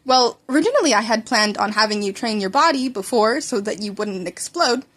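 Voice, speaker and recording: A woman's voice: on the high side, animated, fairly quick, a bit bright. A woman voicing an earnest adult male hero mentor, formal and hesitant, in a story reading.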